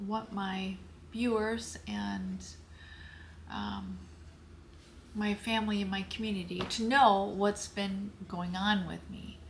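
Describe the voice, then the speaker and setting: A hoarse older woman speaking slowly and haltingly to the camera from her home office, telling a personal health story to her viewers. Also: mid-range voice, slight background noise. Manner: slow, halting, searching for words. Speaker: lifestyle vlogger.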